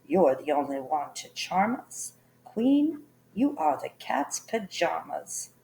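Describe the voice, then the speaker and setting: Woman voicing a slow solemn magic mirror. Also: deep voice, sing-song, gravelly, mellow, a little hiss or hum, full character voice, rough recording. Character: magic talking looking glass, flattering.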